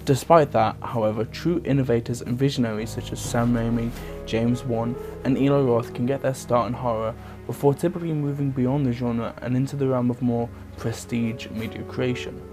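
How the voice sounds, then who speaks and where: Male narrator, mid-pitched voice, scripted video essay delivery, music behind him. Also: steady tone, normal speed, few pauses. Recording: music under the voice. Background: background music.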